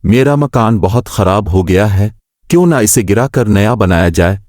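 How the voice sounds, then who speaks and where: A man's voice: fairly low pitch, lively intonation, moderate pace, darkish tone, no echo, studio quality, natural voice. A man voicing a wronged, cunning male bear in a storybook fable, with plain scripted delivery. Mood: thoughtful.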